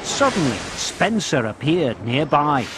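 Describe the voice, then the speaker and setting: Animated, slow-paced male children's storyteller. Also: a little high, heavy background noise. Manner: expressive, measured storytelling for young children. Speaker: narrator of a children's video game. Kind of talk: scripted children's storybook narration with game prompts. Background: background music.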